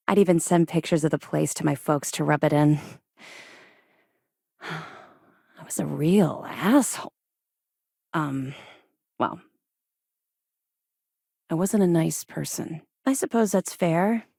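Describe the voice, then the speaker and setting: A dutiful female military officer from a space video game. Her voice sits a little low and is recorded very dry. She speaks frankly, switching between crisp service formality and wry, informal candor. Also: darkish tone, no room sound. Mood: candid, reflective.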